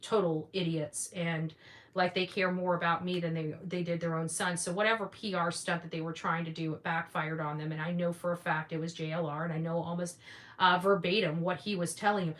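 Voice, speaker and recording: Female online commentary host, bright forceful voice, blunt and combative in casual monologue. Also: on the low side, flat delivery, brisk. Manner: blunt, combative, repeats phrases for emphasis. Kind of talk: casual opinionated monologue to viewers.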